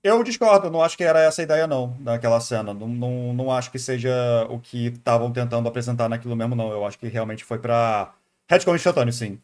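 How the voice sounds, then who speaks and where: A man's voice: medium pitch, fast talker, a little mellow. A Brazilian man chatting casually and informally as a live streamer, rambling through his commentary. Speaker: live streamer reacting to a video. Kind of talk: casual livestream chat and commentary.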